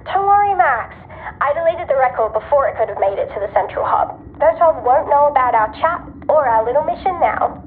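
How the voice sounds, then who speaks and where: A woman's voice: high voice, lively intonation, fairly quick, phone-quality sound. A woman playing a cheeky, scatterbrained girl tech helper in a sci-fi audio drama, speaking playfully through a radio intercom filter. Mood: teasing, reassuring. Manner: playful, flippant. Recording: thin radio line, noisy, long reverb.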